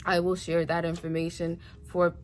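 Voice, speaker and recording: A woman's voice: on the low side, monotone, normal speed. A young woman, born in Nigeria and raised in the United States, chatting casually as she answers viewer questions about her hair, with lots of restarts and fillers. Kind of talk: casual Q&A vlog.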